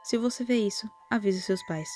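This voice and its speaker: A Brazilian woman narrating a scripted horror commentary in a soft-spoken way, with lightly wry asides. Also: mid-range voice, normal speed. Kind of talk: whispered-style scripted narration, storytelling commentary. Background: music.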